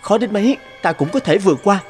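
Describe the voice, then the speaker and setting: A man's voice: high voice, expressive, fast, faint music behind. A man voicing a devoted, brave young suitor in a folktale cartoon, sincere and stubborn. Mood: tender, resolute. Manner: resolute, sincere, declarative. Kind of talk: dubbed folktale cartoon acting.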